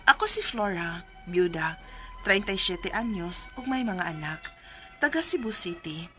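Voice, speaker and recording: A woman's voice: on the low side, animated, measured pace, sharp tone, faint music behind. A woman with a Cebuano Filipino accent playing a weary but determined widowed mother in a radio drama, plaintive and emotional. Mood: solemn narrating. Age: 30s.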